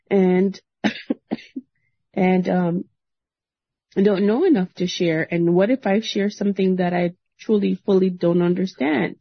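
A woman sharing a hesitant testimony at a Bible study, softly, with many pauses and ums. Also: medium pitch, husky, no room sound, highs cut off. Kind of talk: casual testimony.

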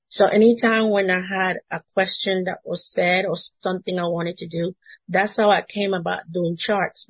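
A woman's voice: on the low side, slightly rough, no room sound, lo-fi sound. A woman with a Spanish accent, a native Spanish speaker, sharing an earnest testimony at a Bible study and laughing between phrases. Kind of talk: casual testimony.

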